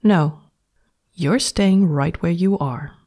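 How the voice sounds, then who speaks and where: A woman's voice: on the low side, takes it slowly, warm tone, pitched above the performer's own voice. A woman voicing a cheerful, bossy young woman who talks sweetly and patronizingly to her new pet. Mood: bright, condescending.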